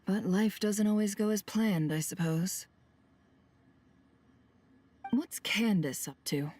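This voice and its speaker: A woman's voice: medium pitch, slow, a little mellow, no echo. A woman playing a shrewd female boss in a video game, composed, sly and sardonic in her delivery. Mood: composed, dry.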